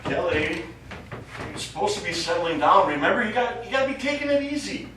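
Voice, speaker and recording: An actor playing a nonchalant, wry astronaut in a stage play. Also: male voice, sits high, big pitch swings, fairly quick. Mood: wry, testy. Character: nonchalant, wry male astronaut tending the ship. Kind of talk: stage acting. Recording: echoey distant stage recording, faint noise.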